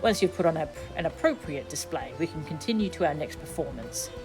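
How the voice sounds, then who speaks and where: A woman voicing a formal, resolute young nobleman from a fantasy game in a measured, commanding way. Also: low-pitched, animated, music in the background.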